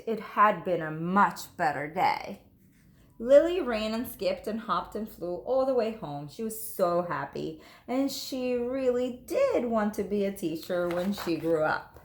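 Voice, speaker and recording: A woman teacher reading a children's story aloud, expressive and emphatic, speaking to young listeners. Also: medium pitch. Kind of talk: animated storybook narration. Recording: echoey room.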